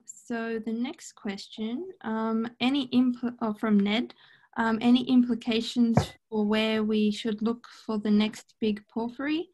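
A woman's voice: on the high side, darkish tone, low-quality recording. A woman moderating a webinar on a video call, reading out audience questions and comments.

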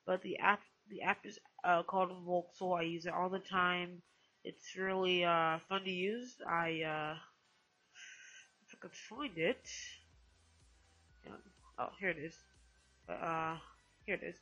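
A woman hosting a casual software tutorial in a hesitant, rambling way, often correcting herself. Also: on the low side, steady tone, measured pace, long pauses, highs cut off. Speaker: tutorial host.

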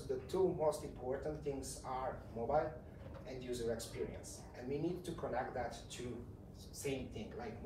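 Croatian-accented man presenting in a roomy space. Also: medium pitch. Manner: informal, repeats words, non-native phrasing. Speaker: conference speaker, tech company CEO. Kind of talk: conference presentation. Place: conference talk room. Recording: roomy, distant mic with faint noise.

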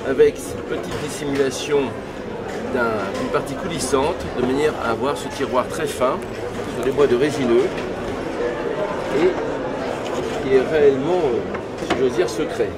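A man, an antiques dealer, showing a piece of furniture in a measured way. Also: mid-range voice. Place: antiques stand indoors. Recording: loud crowd and hall noise.

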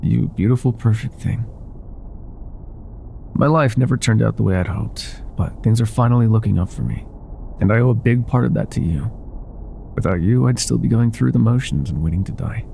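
A man playing an older ex-mafia boyfriend in an intimate ASMR roleplay, speaking softly and affectionately with some hesitation. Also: fairly low pitch, moderate pace, creaky, a little hiss or hum. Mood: grateful, tender. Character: older ex-mafia boyfriend, tender, teasing, vulnerable bar owner.

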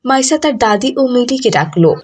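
A woman's voice: a little high, animated, moderate pace, a little mellow, husky. A woman giving scripted narration for a children's moral story.

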